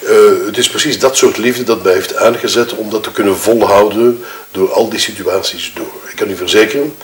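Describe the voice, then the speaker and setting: A man with a Flemish accent playing a wronged, self-justifying widowed husband, giving a wordy, formal and halting statement in an audio murder game. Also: on the low side, a little hiss or hum, natural voice. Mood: hesitant, defensive. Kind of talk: acted improvised-sounding testimony. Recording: roomy echo.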